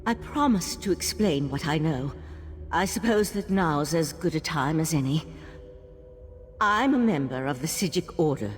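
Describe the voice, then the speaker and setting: A woman voicing an earnest female elf mage of an ancient monastic order, explaining calmly. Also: sits low, normal speed, big-room sound, a little hiss or hum.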